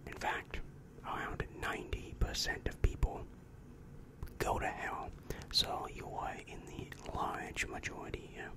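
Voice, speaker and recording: A young man playing a calm, reassuring demon guidance counselor in an ASMR roleplay, whispering breathily and patiently explaining, close to the microphone. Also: natural voice, rough recording. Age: young adult. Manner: slow, soothing, patient explaining. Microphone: close-up microphone with foam windscreen.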